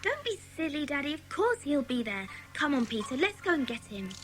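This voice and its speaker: Woman as a high-voiced, insistent British little girl. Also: very animated, brisk, music in the background. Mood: indignant. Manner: emphatic, pleading. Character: Janet, insistent, tender-hearted little schoolgirl. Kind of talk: children's audiobook dramatisation.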